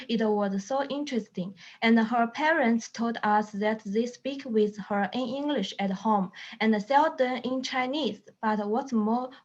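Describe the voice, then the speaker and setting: A woman's voice: a little high, a little mellow, highs cut off. A woman student earnestly reading a short class presentation, with small slips.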